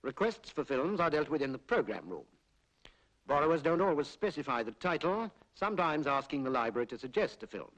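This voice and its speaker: A British man narrating a 1940s public information film in a formal, measured, explanatory way, on a period film soundtrack. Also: on the high side, very animated, a bit bright, poor audio. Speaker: documentary narrator.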